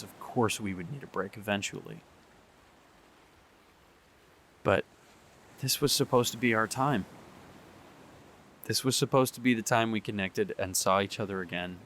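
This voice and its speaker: A young man playing a heartbroken boyfriend in an audio drama monologue, speaking earnestly and haltingly. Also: lowish voice, fairly bright tone, slight background noise, natural voice. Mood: pleading, regretful. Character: heartbroken young boyfriend pleading with his distant partner.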